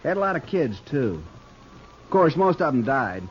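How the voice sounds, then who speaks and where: A man's voice: medium pitch, sing-song, moderate pace, close to an everyday voice. An actor playing a dim-witted, childlike and callous outlaw henchman with an American accent, whiny and rustic, in an old radio Western. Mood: earnest. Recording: dull old radio recording, faint noise.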